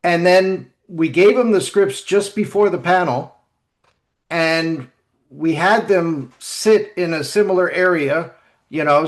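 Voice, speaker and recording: A man hosting a podcast in casual discussion, speaking in a halting way and repeating phrases as he goes. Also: on the high side. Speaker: podcast host.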